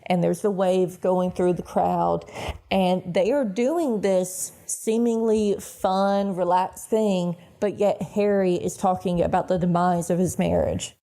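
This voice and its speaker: A woman's voice: fairly low pitch, slow. A young woman co-hosting a casual podcast chat. She tells stories animatedly, with giggles breaking into her talk. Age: young adult. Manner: giggly, animated storytelling, frequent laughs. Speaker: podcast co-host.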